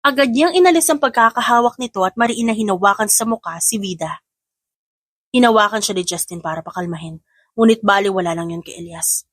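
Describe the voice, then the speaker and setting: A woman's voice: mid-range voice, brisk, fairly bright tone, dry recording, studio quality. A woman giving scripted narration of a romance story in Tagalog.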